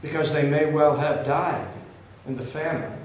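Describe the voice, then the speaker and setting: Older male preacher, fairly high voice, rough throat, very echoey church, dull sound. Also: steady tone, a little slow, a little hiss or hum. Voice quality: coughing, cough drop in mouth. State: losing his voice, throat trouble. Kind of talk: sermon storytelling.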